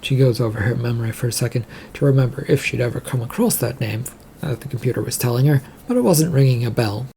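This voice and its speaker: A narrator with a husky, creaky voice, reading serial fiction aloud. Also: medium pitch, fast talker, few pauses, dark tone, a little hiss or hum.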